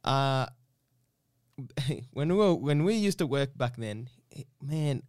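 A man's voice: medium pitch, animated, slow, darkish tone, no room sound, studio quality. A man from Uganda chatting casually as an interview guest, rambling and repeating himself with lots of "you know".

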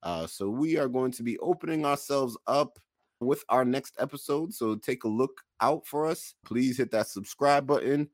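A man hosting a casual podcast, addressing listeners in measured, promotional show-host phrases. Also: mid-range voice, dry recording, studio quality.

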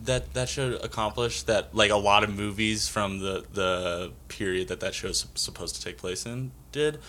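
A young man in his twenties chatting casually with friends. He has a low, bright voice. He rambles eagerly, full of fillers and restarts. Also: moderate pace. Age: 20s. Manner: rambling, enthusiastic, many fillers. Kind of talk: casual group chat.

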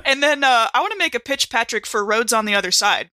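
A woman's voice: fairly high pitch, lively intonation, fast talker, pushed and bright, dry recording, studio quality. A woman playing a tabletop roleplaying game, chatting informally and improvising what her character does. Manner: informal, chatty, hedging with "kind of" and "like". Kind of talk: casual improvised game narration and banter.

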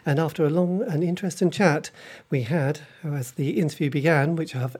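Husky, rambling radio host man. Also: a little high, moderate pace, a little mellow. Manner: rambling, full of ums and self-corrections. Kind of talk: casual radio interview.

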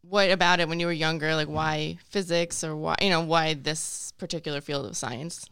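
A woman's voice: low voice, fairly even intonation, gravelly, a bit bright, clean tone, no echo, clean studio sound. A woman co-hosting a podcast, interviewing in an informal, upbeat, chatty way. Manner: informal, upbeat, quick to laugh. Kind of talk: casual interview chat. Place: podcast studio.

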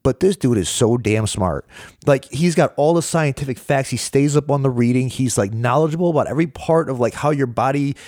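Man, 30s, US guest, slangy animated storyteller, dry close sound, mid pitch. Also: a little fast, no echo. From United States. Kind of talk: casual chat.

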